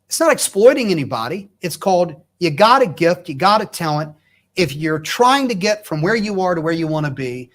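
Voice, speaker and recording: A man's voice: sits high, a bit bright. A middle-aged man hosting a talk show, encouraging his guest and restating the guest's points in his own words. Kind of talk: faith-based talk show conversation.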